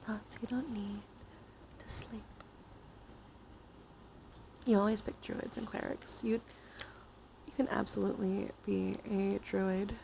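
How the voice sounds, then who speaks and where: A woman live streamer speaking softly, often in a whisper, in a gentle ASMR style mixed with casual chat. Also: mid-range voice, low-quality recording. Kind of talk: ASMR, soft chatty livestream talk. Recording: clear steady background noise.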